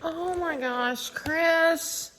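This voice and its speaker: A woman's voice: very high voice, very slow, fairly bright tone, a little hiss or hum. A woman chatting casually as a co-host. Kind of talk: casual chat.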